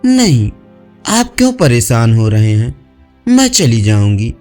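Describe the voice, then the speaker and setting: A man's voice: medium pitch, wildly swooping pitch, takes it slowly, darkish tone, soft background music, pitched below the performer's own voice. A man voicing an earnest, shy young village woman in an audio story, politely persuading. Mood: shy, grateful.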